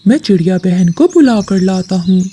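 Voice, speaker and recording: A woman's voice: medium pitch, lively intonation, moderate pace, warm tone, slightly rough, voice pushed down. A woman voicing a curious, polite male pigeon in a children's cartoon. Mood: puzzled. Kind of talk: children's cartoon story acting.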